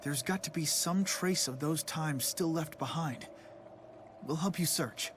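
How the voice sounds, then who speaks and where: An actor playing an earnest, kind, gentle young man in a fantasy adventure game, polite and sincere in his delivery. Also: fairly high pitch, unhurried, noisy background, natural voice. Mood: earnest, reassuring. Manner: polite, sincere, soft-hearted. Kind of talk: video game cutscene voice acting. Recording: game music behind the voice.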